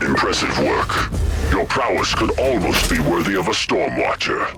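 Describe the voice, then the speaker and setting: A stern low-voiced space marine grandmaster. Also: male voice, sing-song, moderate pace, over music. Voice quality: commanding, gruff military delivery.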